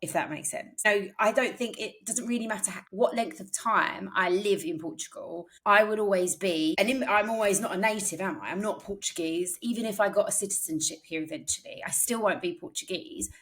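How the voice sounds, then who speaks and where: A woman's voice: medium pitch, a little fast, few pauses, fairly bright tone. A woman from England in her thirties, co-hosting a podcast in a chatty, think-aloud way with many fillers. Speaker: podcast co-host. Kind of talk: casual chat.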